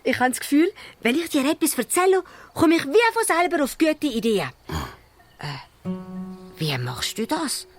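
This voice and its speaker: A woman playing a small, lively male dwarf who muses aloud and asks questions, with a Swiss German accent, in a children's radio play. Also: sits high, measured pace, soft background music. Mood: musing, hopeful. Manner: musing aloud, asking questions.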